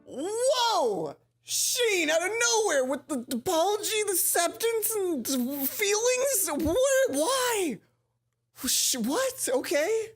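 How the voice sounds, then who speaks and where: A woman's voice: extremely high pitch, big pitch swings, takes it slowly. A woman reading a visual novel aloud as a let's play host, adding her own asides. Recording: background game music. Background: music from the game.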